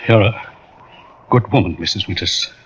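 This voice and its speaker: A man's voice: on the low side, normal speed, lightly acted. An actor playing a grieving middle-aged businessman father, hesitant and subdued, in 1940s radio acting. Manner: hesitant, subdued, then pleading. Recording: hissy lo-fi old radio broadcast.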